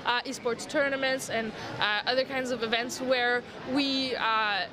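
A woman's voice: a little high, steady tone, a little slow. A woman from Montreal whose first language is French, speaking as a guest in a convention interview, earnest and full of fillers. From Montreal, Canada. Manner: many fillers, earnest and eager. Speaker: guest from a gaming organization. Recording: crowd noise behind.

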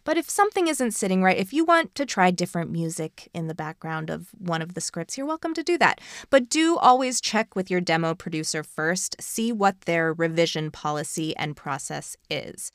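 A woman with a lowish voice hosts an advice podcast. She explains things directly and encouragingly, in a dry recording with little room sound. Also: animated, moderate pace, few pauses, no echo. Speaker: podcast host.

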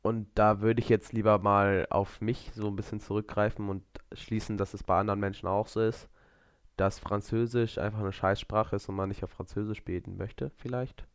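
A man's voice: on the low side, level tone, moderate pace, smooth, no room sound, clean studio sound. A man co-hosting a faith podcast in casual chat, joking and reasoning aloud while he guesses. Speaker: podcast co-host.

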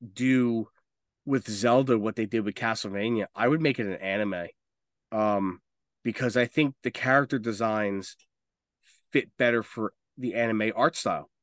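A man co-hosting a casual talk show, with a medium-pitched voice and a very dry, close sound. He talks informally, wandering through his thoughts and cursing freely. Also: fairly bright tone, no room sound. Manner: informal, swearing, rambling. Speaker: podcast co-host. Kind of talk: casual chat about video game movies.

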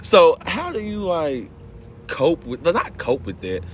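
A man's voice: a little high, very animated, measured pace, slight background noise. A young man hosting a podcast, laid-back and slangy, often asking "you know what I'm saying". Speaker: podcast host. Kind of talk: casual chat.

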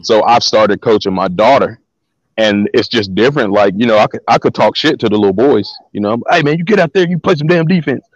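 Deep-voiced man telling stories, lo-fi line. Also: a little fast, dry recording. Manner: relaxed, slangy, repeats words as he talks. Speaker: guest. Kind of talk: casual storytelling interview.

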